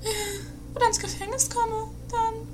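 A woman's voice: very high-pitched, flat delivery, a little slow. A woman playing a vain, self-important film diva in an amateur comedy radio play, melodramatic and fearful for herself. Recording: faintly noisy 1980s amateur radio recording.

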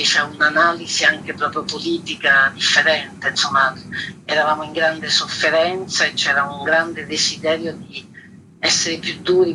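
Casting note: female curator in interview, thoughtful, halting, emphatic word choices, clearly noisy background. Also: sits low. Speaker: exhibition curator, interview guest. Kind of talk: reflective interview commentary. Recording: very noisy line with background ambience.

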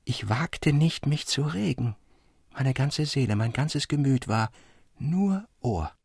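An actor playing a romantic young man who fervently recounts a dreamlike infatuation to his friend in formal, old-fashioned radio storytelling, with a husky, gravelly voice. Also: mid-range voice, expressive, slow, a little mellow, slight background noise. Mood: rapturous. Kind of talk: dramatic literary narration for radio.

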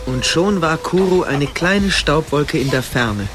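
A man's voice: on the high side, animated, measured pace, background noise. A man narrating a scripted story in a dramatic storytelling style.

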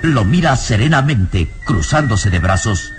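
A man's voice: fairly low pitch, animated, unhurried, over music. A man delivering dramatic scripted narration as the narrator of an old radio serial.

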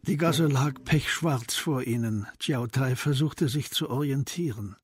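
Very husky male radio drama narrator. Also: mid-range voice, measured pace. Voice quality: very husky, breathy.

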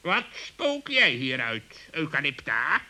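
A high, piercingly bright animal character in a children's radio play. He is haughty and brusque, with swooping, pompous delivery. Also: wildly swooping pitch, takes it slowly, exaggerated voice. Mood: reproachful. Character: brusque, haughty male animal companion of a gnome.

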